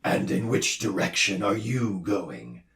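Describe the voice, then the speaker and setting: A man voicing a pompous male doctor who lectures loudly and roars, in a storybook reading. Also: sits low, very animated, slow pace, gravelly, reverberant, recorded from a distance, full character voice. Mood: grave. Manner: loud, roaring, lecturing. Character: booming gruff male noise doctor, pompous.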